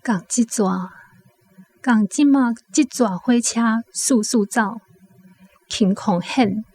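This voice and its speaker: A woman narrates a radio drama in a Taiwanese accent. Her voice sits fairly high, and she tells the story at a very unhurried pace. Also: a little mellow, slightly rough.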